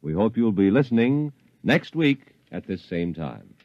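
A man's voice: fairly low pitch, sing-song, unhurried, dry recording. A man reading a scripted preview as a 1950s radio announcer. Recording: muffled old radio recording.

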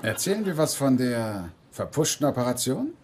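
An actor playing an indignant, thrifty husband and friend in a dubbed television sitcom. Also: male voice, medium pitch, big pitch swings, normal speed, darkish tone, some chatter behind, slight background noise, close to an everyday voice. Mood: earnest.

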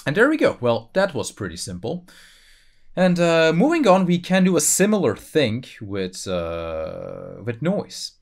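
A man talking through a software tutorial in an informal, explanatory way, thinking aloud as he goes. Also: a little high, wildly swooping pitch, measured pace. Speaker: tutorial presenter. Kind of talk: casual screen-recorded software tutorial. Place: voice-over for a screen recording.

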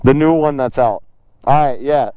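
A man's voice: medium pitch, moderate pace, dark tone, clean tone, dry recording. A young man vlogging from his motorcycle on a residential street, casual and joking, laughing often. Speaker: motovlogger. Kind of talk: casual vlog chat. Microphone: helmet-mounted action camera.

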